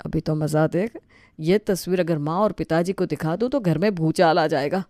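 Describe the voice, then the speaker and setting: A man playing a smug older brother in a family comedy story, with sarcastic, drawn-out teasing. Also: fairly high pitch, darkish tone, no room sound, clean studio sound, lightly acted.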